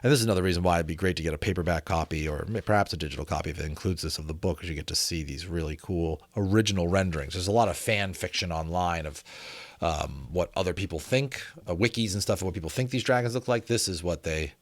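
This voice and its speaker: A man doing a dramatic, deliberate audiobook reading, mixed with casual, chatty asides to his listeners. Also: low-pitched, no echo. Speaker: reader and presenter of a book reading channel.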